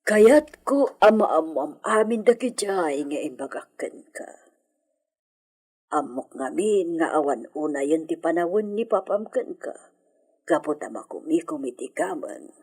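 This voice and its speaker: A woman playing an elderly, confiding grandmother in a radio drama, with an Ilocano accent and a breathy, husky, measured delivery. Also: low-pitched, lively intonation, a little mellow, exaggerated voice. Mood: concerned, advising.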